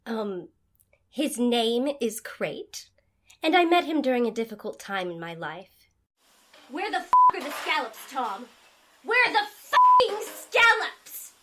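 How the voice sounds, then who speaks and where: A woman's voice: fairly high pitch, sing-song, takes it slowly, pushed and bright, a little hiss or hum, exaggerated voice. A woman playing a tenacious, hot-tempered head chef in an audio drama, with an emphatic way of talking. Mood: furious.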